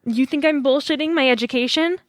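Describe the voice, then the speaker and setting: An actress playing a bitter young adult daughter of a politician in an audio play, sarcastic and confrontational. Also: female voice, high voice, a little fast, dry recording, studio quality, lightly acted. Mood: indignant.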